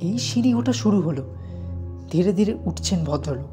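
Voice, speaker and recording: A man narrating an audio story in a dramatic, suspenseful and expressive way. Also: high-pitched, moderate pace. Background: background music.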